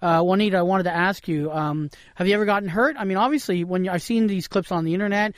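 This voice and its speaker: High-voiced male co-host. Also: fast talker, no room sound, clean studio sound. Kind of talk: casual chat.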